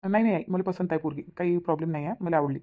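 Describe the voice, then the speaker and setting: A woman's voice: fairly low pitch, phone-quality sound, natural voice. A woman voicing an impatient young adult son in a cartoon, speaking in a hurry with a lilt. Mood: agreeable. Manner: hurried, impatient. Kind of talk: cartoon voice acting.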